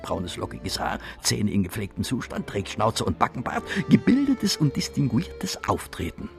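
An actor in a German radio play playing a scheming police detective who is insinuating, hesitant and then insistent. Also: male voice, fairly low pitch, creaky, heavily acted. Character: wily, pushy male police detective, coaxing and scheming. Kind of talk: German radio drama acting.

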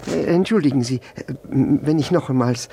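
An actor playing a nervous, jealous, self-important Russian official in a German radio play, stammering and repeating himself. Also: male voice, mid-range voice, moderate pace, creaky, warm tone, slightly rough. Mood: nervous, apologetic. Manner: stammering, repeats words, breaks off.

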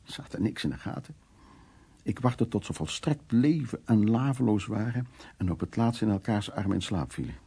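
A man's voice: on the low side, animated, moderate pace, full character voice. An actor playing a worldly, blunt man who recounts wartime escapades in an earthy, wry radio drama monologue. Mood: earthy, confessional. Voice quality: gravelly, husky, dark.

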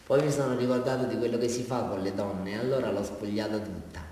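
Actor as rambling southern Italian confessor, cavernous echo. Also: male voice, on the low side, fairly even intonation, close to an everyday voice. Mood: dreamy, tender. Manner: rambling, halting, swinging from hushed to loud. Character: disturbed, simple-minded male criminal confessing, rambling and childlike. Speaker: actor in a radio play. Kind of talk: radio drama confessional monologue. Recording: distant, very echoey reverberant hall.

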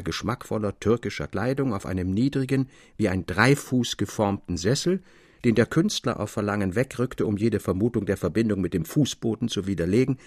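Thoughtful literary storyteller, husky, close dry sound, formal expressive narration, measured phrasing, eerie tales. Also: male voice, on the low side, no room sound.

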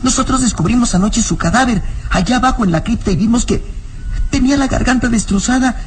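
A woman playing an earnest, excitable little boy, a hero's loyal companion in an old radio serial. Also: fairly high pitch, fast, a little hiss or hum, close to an everyday voice. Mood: urgent, alarmed.

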